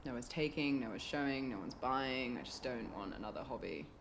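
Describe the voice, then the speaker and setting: A woman's voice: extremely low pitch, brisk, creaky, noisy background. A British woman playing a broke, frustrated young writer who is teasing and blunt in a naturalistic radio drama. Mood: guarded, discouraged.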